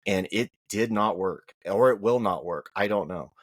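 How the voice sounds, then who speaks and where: Man, podcast co-host, whiny voice, animated, rhetorical questions, dry close mic. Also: moderate pace, no echo. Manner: animated, rising to high rhetorical questions when arguing. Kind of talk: casual political chat.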